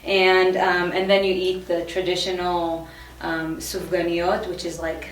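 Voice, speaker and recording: A woman in her thirties, from Israel, talking in a casual interview, halting, with many fillers and restarts. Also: fairly low pitch, little pitch movement. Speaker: guest. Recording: echoey room, far from the mic.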